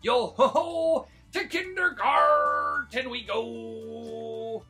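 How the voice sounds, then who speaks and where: A man voicing a gruff but soft-hearted pirate bus driver in a storybook, growling and drawing out his pirate talk. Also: very high-pitched, extremely slow, faint music behind, exaggerated voice. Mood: hearty.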